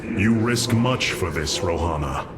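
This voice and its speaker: A man's voice: deep voice, expressive, a little slow, heavy background noise. A man playing a noble, resolute alien warrior leader in a game cutscene, with stately, earnest and formal speech. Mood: concerned. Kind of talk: dramatic game cutscene acting. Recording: loud music behind the voice.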